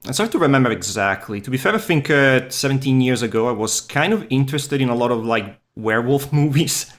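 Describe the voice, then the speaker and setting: Man, Swiss Italian accent, native Italian speaker, podcast guest, relaxed and rambling. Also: medium pitch, brisk. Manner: easygoing, rambling, self-deprecating. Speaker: podcast guest, furry artist. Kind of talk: casual interview chat.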